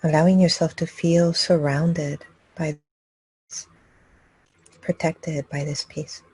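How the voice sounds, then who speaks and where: Husky-voiced woman leading a calm guided meditation. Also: low voice, no room sound, poor audio. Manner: calm, unhurried, with long pauses between phrases. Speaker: meditation teacher leading a live group session. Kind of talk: guided meditation, slow and gentle instruction.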